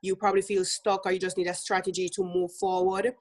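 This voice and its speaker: A woman's voice: medium pitch, level tone, a little fast, darkish tone. A woman from Jamaica with a Jamaican accent, a business coach speaking as an interview guest in an earnest way, with easy laughs and many fillers.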